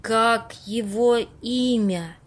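A woman's voice: a little high, very slow. A woman acting a timid, superstitious young woman in an amateur audio play, plaintive and easily frightened. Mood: solemn, plaintive.

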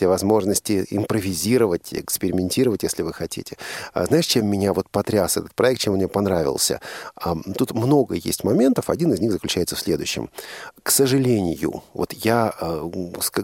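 Man, radio host, gravelly voice, discursive and opinionated, conversational interview style, dry close studio sound. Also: fairly low pitch, darkish tone, no room sound.

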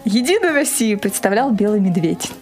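A woman in a casual interview, recounting an event as its organizer in an animated storytelling way. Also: mid-range voice, moderate pace, soft background music. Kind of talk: casual spoken interview recollection.

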